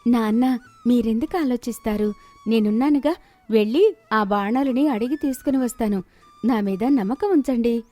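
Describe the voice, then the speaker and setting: A woman's voice: on the high side, normal speed, dark tone, faint music behind, close to an everyday voice. A woman voicing a polite, clever young village daughter, respectful and sweetly reassuring.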